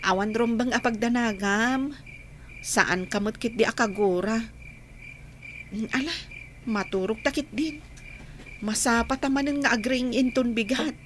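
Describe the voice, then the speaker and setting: A woman's voice: fairly high pitch, measured pace, long pauses, slight background noise, close to an everyday voice. A woman with an Ilocano Filipino accent playing an earnest young woman in love in a radio drama. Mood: mildly reproachful.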